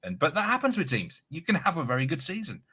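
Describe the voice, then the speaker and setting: A man's voice: a little high, huge pitch swings, quick pace, sharp tone, breathy edge. A man hosting a live betting show, chatting casually with many restarts. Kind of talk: casual live studio chat.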